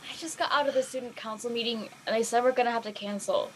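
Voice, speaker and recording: A woman plays an everyday female character in an audio drama. Her delivery is casual and conversational, in a somewhat high voice. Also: fast talker, slight background noise, lightly acted, low-quality recording. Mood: urgent. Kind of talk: live audio drama table read.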